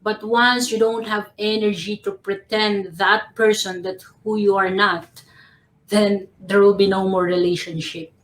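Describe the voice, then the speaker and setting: A woman from the Philippines, a professional coach, giving tips on a panel in a slow, measured, gently ironic way over a video call. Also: medium pitch, level tone, echoey room, rough recording.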